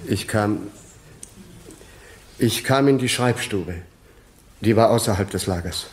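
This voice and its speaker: An actor playing a reticent, uneasy clerk in his forties, halting and subdued, in a radio drama. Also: lowish voice, a little hiss or hum. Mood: uneasy, haunted. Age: 40s. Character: reticent, uneasy 42-year-old clerk, a reluctant witness. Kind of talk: German radio crime drama acting.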